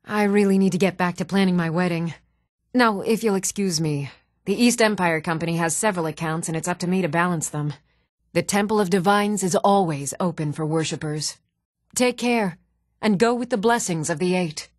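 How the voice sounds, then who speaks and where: An actress playing an adult townswoman in a fantasy role-playing game, speaking in an even, measured, clearly enunciated way. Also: fairly low pitch, dry recording, studio quality, natural voice. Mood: polite, welcoming. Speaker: video game voice actor. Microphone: close, dry studio microphone.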